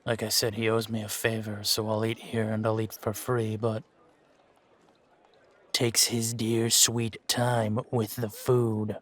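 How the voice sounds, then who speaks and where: Slow-talking, world-weary monster hunter for fantasy audio roleplay, male actor, flat delivery, laconic and musing. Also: fairly low pitch. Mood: mildly grumbling. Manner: laconic, musing, measured with pauses. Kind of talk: fan-made roleplay audio drama, unhurried monologue to a companion.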